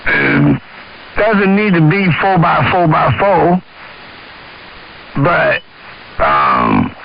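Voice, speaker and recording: Very croaky American man on CB radio. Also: on the high side, big pitch swings, drawn-out words. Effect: CB radio transmission. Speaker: CB radio operator. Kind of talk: casual radio chat. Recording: hissy, muffled CB radio signal.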